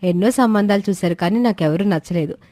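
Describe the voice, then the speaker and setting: Man putting on a high young woman's voice, forward, playful, storybook cousin. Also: fast, dark tone, no echo, studio quality, natural voice. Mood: apologetic.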